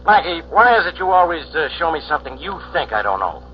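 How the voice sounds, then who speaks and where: Blustering, high-pitched police inspector. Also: male voice, very animated, quick pace. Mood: bossy, exasperated. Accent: American. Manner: gruff, scolding, bossy. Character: exasperated, suspicious, blustering male police inspector. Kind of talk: 1940s radio acting. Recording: dull, noisy 1940s radio recording.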